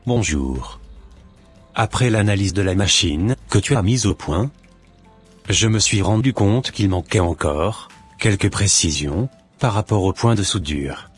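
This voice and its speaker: A man's voice: low-pitched, expressive, unhurried, breathy edge, faint music behind, exaggerated voice. A man playing formal, self-assured men in a cartoon, with a stiff scripted delivery. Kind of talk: animated cartoon dialogue.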